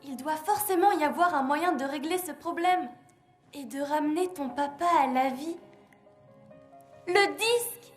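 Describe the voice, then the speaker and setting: A woman voicing a sensible, curious, encouraging child sidekick in an animated film. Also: high-pitched, a little slow. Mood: urging. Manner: questioning, earnest. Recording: echoing sound with music behind.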